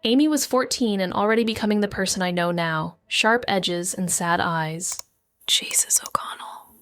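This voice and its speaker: Woman acting hurt, brooding lady sleuth narrating a noir podcast, really clear smooth voice. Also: mid-range voice, normal speed, a bit bright, dry recording, studio quality, lightly acted. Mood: pained, haunted. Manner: introspective, brooding. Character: wounded, avoidant woman hacker with medical training, brooding. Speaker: narrating character. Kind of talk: first-person noir audio drama narration.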